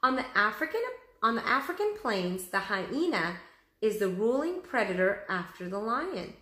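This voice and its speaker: A woman's voice: mid-range voice, big pitch swings, moderate pace, fairly bright tone, roomy sound. A woman reading the part of an earnest, cautious young boy adventurer in a storybook, explaining things emphatically.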